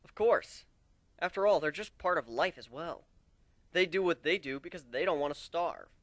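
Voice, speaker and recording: A man's voice: a little high, animated, moderate pace, a bit bright, no echo, voice pushed up. A man voicing a brash, frank young griffin warrior in a dramatic story reading. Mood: determined, emphatic.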